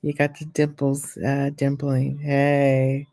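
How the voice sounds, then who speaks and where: A woman vlogger who reads political news aloud haltingly, stumbling over names, then breaks into chatty opinions of her own. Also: extremely low pitch, completely flat delivery, dark tone. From California. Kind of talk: reading news aloud and commenting.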